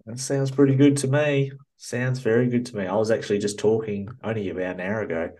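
Kiwi guy w/ aussie-sounding accent hosting a podcast, lowish voice, chatty n upbeat. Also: fairly even intonation, fairly quick, warm tone. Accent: Australian-sounding. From New Zealand. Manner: chatty, upbeat, laughs easily. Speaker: podcast host. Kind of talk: casual interview chat. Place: small cubby.

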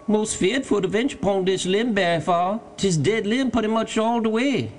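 A man's voice: sits high, expressive, moderate pace, slight background noise, pitched above the performer's own voice. A man voicing an eager, fearful male servant in an audiobook story, calling out in broken rustic dialect. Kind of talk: dramatized audiobook character.

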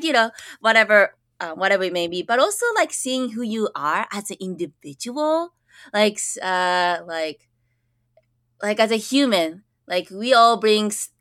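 A woman's voice: medium pitch, sharp tone, smooth, dry recording, clean studio sound. A young woman from Japan, a school counselor, talking earnestly on a podcast microphone with many fillers and restarts. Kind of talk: casual interview conversation.

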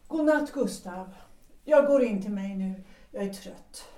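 A weary wife speaks quietly, set on leaving, voiced by an actress. Her voice is mellow and soft-edged. She sounds a little distant, in an echoing room, in this audio drama. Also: mid-range voice, animated, lightly acted. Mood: weary resolve. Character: Gertrud, a tired wife leaving her husband. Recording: roomy, off-mic.

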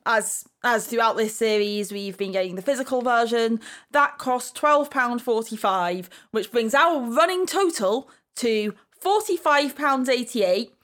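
A woman's voice: fairly high pitch, fairly bright tone. A woman co-hosting a podcast in a casual, chatty style. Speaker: podcast co-host.

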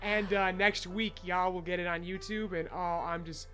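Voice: medium pitch, fairly even intonation, moderate pace, bright, forceful tone, soft background music. A podcast host chatting casually, in an emphatic and profane way.

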